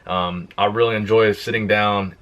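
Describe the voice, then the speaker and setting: A young man on a fitness channel, casually answering viewer questions in a plain, relaxed way. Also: lowish voice, moderate pace. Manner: relaxed, plain-spoken, reads questions aloud then answers. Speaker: fitness YouTuber. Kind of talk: casual Q and A vlog.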